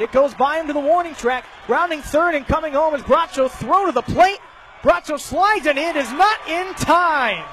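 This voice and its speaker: An American man doing live radio play-by-play of a baseball game, describing each pitch and play in detail. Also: breathy edge. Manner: detailed, narrates each pitch, animated on big plays.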